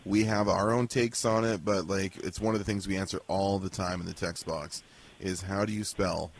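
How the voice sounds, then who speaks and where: A man's voice: low voice, steady tone, moderate pace. A man speaking casually as a radio show co-host in an interview. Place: remote link from a spare bedroom at home.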